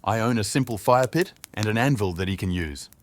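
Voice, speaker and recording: A man voicing a tall, gentle crooked man who talks in a measured, dryly joking way. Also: lowish voice, lively intonation, a little hiss or hum, natural voice. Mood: wry.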